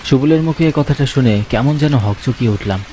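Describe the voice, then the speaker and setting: A man tells a horror story in the first person. His voice sits at a middle pitch, with a dramatic, suspenseful delivery. Rain and thunder run noisily behind him. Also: brisk, dark tone, slight background noise. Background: rain and thunder sound effects.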